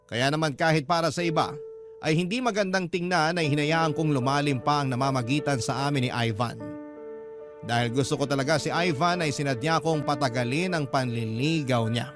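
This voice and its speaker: A man with a slightly high voice reads a scripted story in the first person. He narrates as a radio drama storyteller, with music playing clearly behind him. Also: a little fast. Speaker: storyteller host reading a listener's letter. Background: background music.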